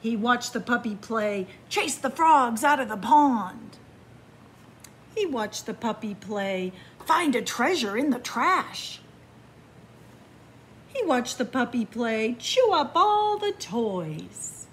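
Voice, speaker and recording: A woman reading a picture book aloud to children in a library, with slow, expressive storytelling, hushed whispers and excited rises. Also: on the high side, slight background noise. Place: library in front of bookshelves. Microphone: camera facing the book.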